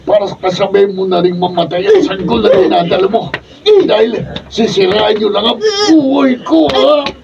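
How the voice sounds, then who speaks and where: A man's voice: sits high, normal speed, creaky, a loud crowd. An actor playing a callous, bitter man in a Filipino radio drama, delivered melodramatically. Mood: menacing, callous. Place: radio drama recording studio. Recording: muffled, noisy, distant off-camera studio capture.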